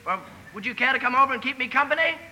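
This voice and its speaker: A man playing a polite, well-bred young gentleman in old radio drama, with courteous, polished delivery. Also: very high-pitched, rapid-fire, heavily acted. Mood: eager, lonely. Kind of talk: 1940s radio drama acting.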